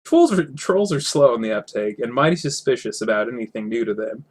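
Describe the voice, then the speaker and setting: A man's voice: medium pitch, sing-song, a little fast. Man reading a storybook aloud, stumbling occasionally. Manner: stumbles over words and repeats phrases. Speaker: reader, narrator.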